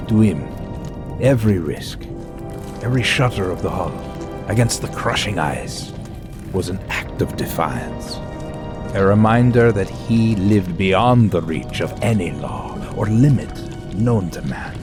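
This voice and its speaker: An actor playing a grave, thoughtful professor in a literary audio drama, with a brooding, deliberate delivery. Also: male voice, on the low side, animated, music in the background. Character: grave, thoughtful professor narrating his voyage, anxious when pleading. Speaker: narrator and lead character.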